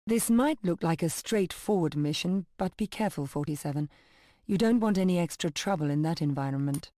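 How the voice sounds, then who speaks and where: An actress playing a polished intelligence handler in a video game, giving formal, measured, businesslike briefings. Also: female voice, fairly low pitch, dark tone, no echo, studio quality, close to an everyday voice. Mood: composed, briefing. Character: composed, polished female intelligence agency handler.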